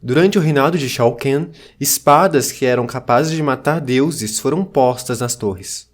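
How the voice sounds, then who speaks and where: A man's voice: medium pitch, a little slow, lightly acted. A man playing an ancient survivor of a fallen dynasty, narrating in a solemn, formal storytelling manner. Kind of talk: scripted dramatic narration.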